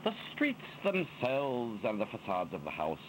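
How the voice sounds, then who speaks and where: Theatrical man reciting poetry, swooping pitch. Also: wildly swooping pitch, unhurried, a little hiss or hum, poor audio. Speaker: reader of poetry. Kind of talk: expressive, dramatic poetry recitation.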